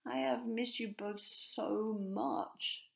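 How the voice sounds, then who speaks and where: A woman's voice: a little high, steady tone, drawn-out words, creaky, echoey room, pitched above the performer's own voice, exaggerated voice. A woman voicing a loving, joyful father in a children's storybook, calling out with emotion.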